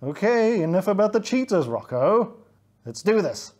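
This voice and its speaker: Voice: mid-range voice, very animated, normal speed, a little mellow, lightly acted. A woman voicing a pushy, taunting male fox who leads a gang in a children's story. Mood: impatient. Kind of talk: children's animal story character voice.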